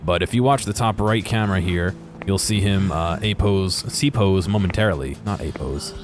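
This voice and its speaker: A man giving casual, unscripted commentary over game footage in a halting, explanatory way, correcting himself as he goes. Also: low-pitched, over music. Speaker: video creator narrating game footage.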